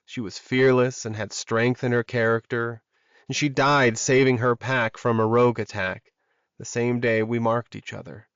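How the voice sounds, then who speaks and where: A man voicing a reserved, steady werewolf alpha in an audiobook, speaking in a measured and solemn manner. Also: medium pitch, clear, resonant tone, no echo, muffled recording, natural voice. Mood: grieving, proud. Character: reserved, steady male werewolf alpha, grieving yet supportive.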